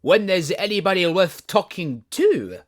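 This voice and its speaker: A storyteller voicing a proud, bossy tiger lily flower in a loud, high, drawn-out scolding voice. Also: sing-song. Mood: haughty.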